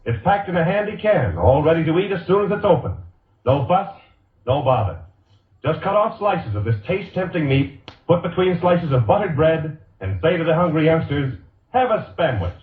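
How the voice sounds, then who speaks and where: A man's voice: a little high, sing-song, normal speed, recorded from a distance. A man reading a mid-century radio commercial in a polished, emphatic salesman's delivery. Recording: narrow, roomy vintage radio recording.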